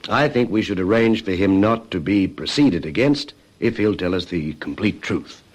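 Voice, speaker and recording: An actor playing a shrewd, pragmatic police detective in a radio crime drama, talking in a deliberate, matter-of-fact way. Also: male voice, low voice, animated. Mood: businesslike.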